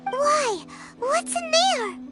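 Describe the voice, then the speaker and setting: A woman voicing a tiny, childlike, loyal fairy companion in an anime-style video game, with a squeaky, perky delivery. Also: big pitch swings, drawn-out words, heavily acted. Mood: alarmed, puzzled. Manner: perky, refers to self in third person. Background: music.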